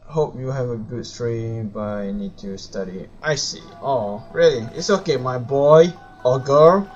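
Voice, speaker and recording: A man's voice: medium pitch, a little slow, a little mellow. A man who speaks English as a second language, chatting playfully and informally as a gaming live streamer. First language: not English. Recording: muffled mic, game music behind.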